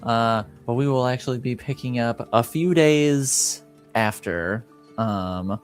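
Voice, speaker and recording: Mid-pitched man running a game. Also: slow, faint music behind. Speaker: game master. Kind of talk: casual banter while hosting a tabletop game.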